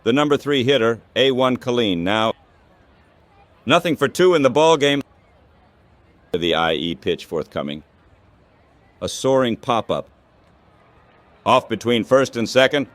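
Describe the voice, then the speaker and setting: A man calling baseball play-by-play as an announcer, in short, measured phrases. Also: mid-range voice, lively intonation, dry recording.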